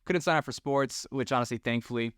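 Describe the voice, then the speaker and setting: A man from Florida, a podcast guest who tells stories in a relaxed, slangy way. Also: mid-range voice, fast talker, clear, resonant tone, dry recording, clean studio sound. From Orlando, Florida, US. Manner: relaxed, slangy, talks with friendly bro energy. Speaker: podcast guest, tattoo shop owner. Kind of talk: casual storytelling chat.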